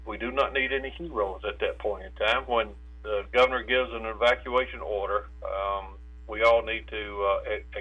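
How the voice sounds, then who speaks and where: A man with an American accent giving preparedness advice in a phone interview, haltingly, repeating words and stumbling mid-phrase. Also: medium pitch. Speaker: state insurance director, interviewed guest. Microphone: telephone. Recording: tinny narrow phone line with hum.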